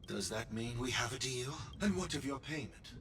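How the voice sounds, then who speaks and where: A man's voice: medium pitch, expressive, moderate pace, a bit bright, recorded from a distance, slight background noise. A man voicing a dignified young nobleman in a video game, speaking with formal courtesy. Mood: solemn, courteous.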